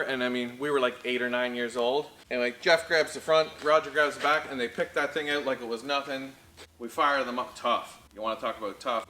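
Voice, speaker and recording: A man from Prince Edward Island with a Canadian Maritime accent, telling a casual personal story in a folksy, animated, conversational way. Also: medium pitch. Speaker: car dealership storyteller and host.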